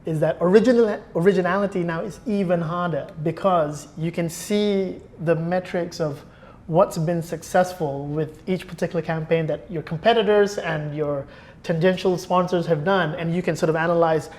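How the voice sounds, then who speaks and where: A man speaking as a guest in a relaxed, conversational podcast discussion. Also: on the high side, normal speed.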